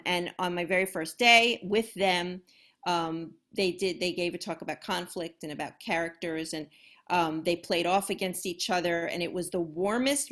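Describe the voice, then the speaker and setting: A woman author on a video call with a loud voice, telling her story in an animated, self-deprecating way. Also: on the low side. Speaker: panel guest author. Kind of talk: casual panel chat.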